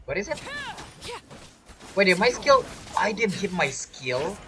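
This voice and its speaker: A man's voice: sits high, big pitch swings, measured pace. A man giving casual live gameplay commentary, talking as a gaming streamer. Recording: game music behind the voice. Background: video game music.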